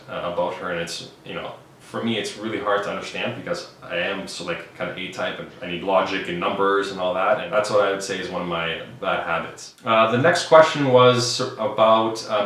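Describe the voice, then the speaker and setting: A man in his thirties from Canada, talking casually to camera about himself in a relaxed, thoughtful way, with many fillers and restarts. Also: lowish voice. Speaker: vlogger, high school science teacher. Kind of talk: casual personal vlog. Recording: very echoey room, faint background noise.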